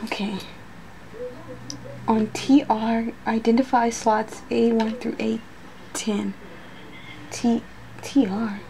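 A woman doing an ASMR roleplay in soft speech that slips into whispers, muttering hesitantly to herself like an unsure student. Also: fairly high pitch, flat delivery, slow pace, long pauses. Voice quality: soft, often breathy whispering. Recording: noisy close recording with clicks.

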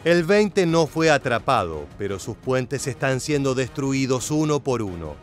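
A man with a mid-range voice narrates a scripted documentary in a steady, measured way. Soft music runs under his voice. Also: medium pitch.

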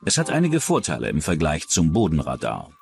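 A man's voice: rumbling low, huge pitch swings, brisk, creaky, a little mellow, slight background noise. A man reading scripted true-crime documentary narration as the narrator.

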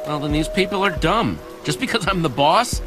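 A man's voice: on the high side, lively intonation, normal speed, music in the background, close to an everyday voice. An actor playing a plucky young male game hero with a wry, casual, cocky way of talking. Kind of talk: animated video game voice acting.

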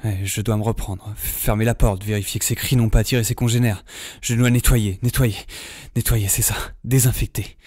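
An actor playing a shaken, sleepless man surviving a zombie apocalypse in an audio drama monologue, husky and halting, repeating himself obsessively. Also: on the low side, fairly even intonation, a little mellow, studio quality, lightly acted. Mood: shaken, rattled. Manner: obsessive repetition, halting, self-persuading. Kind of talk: first-person audio drama monologue, diary log. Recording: roomy echo, music behind.

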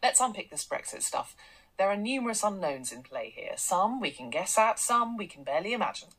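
A woman's voice: mid-range voice, very animated, brisk, fairly bright tone, slightly rough, exaggerated voice, rough recording. An actress playing a confident, assertive woman tech entrepreneur, in a radio drama. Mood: assertive, slick. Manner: slick, assertive, salesy.

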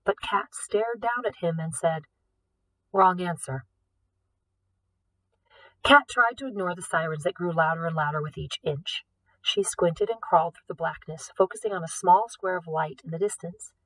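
A woman narrating a novel as an audiobook reader, with expressive delivery. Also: on the low side, moderate pace, distant mic, poor audio. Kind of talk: expressive scripted fiction narration.

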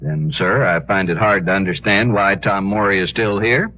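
Man doing stuffy self-righteous townsman, old radio western, lowish voice, formal and pushy, muffled recording. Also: steady tone, normal speed, a little hiss or hum, lightly acted. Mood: indignant. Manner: stiff, formal, pompous. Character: officious, self-righteous, insistent frontier townsman. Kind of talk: 1950s radio western acting.

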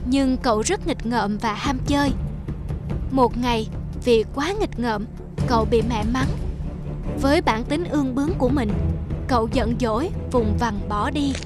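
Female fairy-tale narrator, high register, scripted storytelling over prominent music. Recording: loud music behind the voice. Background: loud background music.

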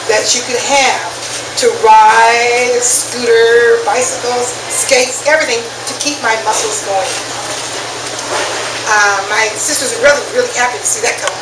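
An older woman giving an informal personal talk to a small group in a restaurant, speaking deliberately with pauses. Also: fairly high pitch. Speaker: guest speaker at a business networking meetup. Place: restaurant dining room. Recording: echoey, noisy room, distant mic, loud background hum.

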